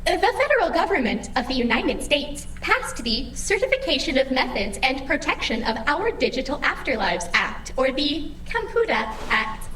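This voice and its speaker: An oblivious, cheerfully bureaucratic female computer in an audio drama. Her voice is high and bright, with animated swings, and her phrasing is precise and formal. It sounds roomy, over faint noise. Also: moderate pace, distant mic, exaggerated voice. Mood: chipper, informative. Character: chipper female computer host of a digital afterlife, oblivious and officious. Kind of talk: scripted audio comedy drama acting.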